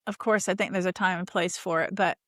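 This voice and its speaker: A woman's voice: fairly low pitch, steady tone, brisk, no echo, studio quality. A woman hosting a podcast interview, chatting enthusiastically and rambling with restarts. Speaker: podcast host. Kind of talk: casual interview chat.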